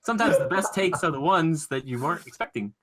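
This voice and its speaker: Bright-voiced man chatting on a podcast. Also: a little high, expressive, fast talker. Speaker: podcast co-host. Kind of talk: casual podcast chat.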